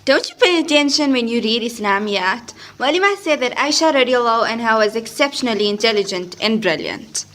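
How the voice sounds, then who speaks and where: A woman's voice: a little high, animated, brisk, hardly any pauses, a bit bright. A woman playing a chatty, wry but earnest teenage schoolgirl in an audio drama.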